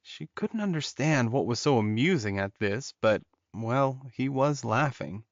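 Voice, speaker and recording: A man's voice: medium pitch, expressive, measured pace, no room sound, lo-fi sound. A man narrating fiction as a scripted audiobook.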